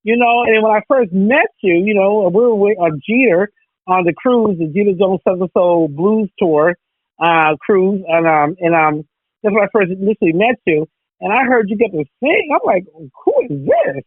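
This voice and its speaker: A woman's voice: medium pitch, expressive, normal speed, breathy edge, no echo. A woman hosting a radio interview, chatty and full of "you know". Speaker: radio host. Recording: tinny phone line.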